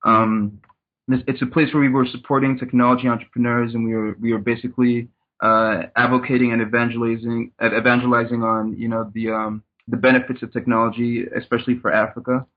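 A man from Cameroon talks through his background as an interview guest, measured and often repeating words while he thinks. His tone stays flat and even. He comes through a tinny, phone-like line. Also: mid-range voice. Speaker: guest, startup consultant. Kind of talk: casual interview.

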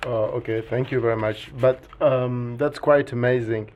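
A man's voice: medium pitch, steady tone, normal speed, highs cut off, slight background noise. A man who is a PhD student hosting a podcast interview, asking questions hesitantly with many fillers.

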